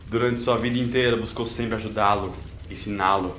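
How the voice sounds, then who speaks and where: A man's voice: fairly low pitch, fairly even intonation, a bit bright. Solemn male audio drama narrator. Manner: solemn and measured. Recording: roomy echo, faint hiss.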